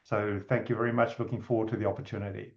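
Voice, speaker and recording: A man introducing himself as a university executive officer, earnest and measured. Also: lowish voice, level tone. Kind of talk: self-introduction on a panel. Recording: dull video call audio.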